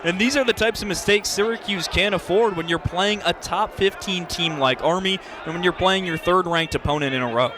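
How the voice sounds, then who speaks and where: A man's voice: high voice, brisk, hardly any pauses, crowd noise. A man giving live radio color commentary on lacrosse, analyzing play with emphasis. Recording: clear background game noise.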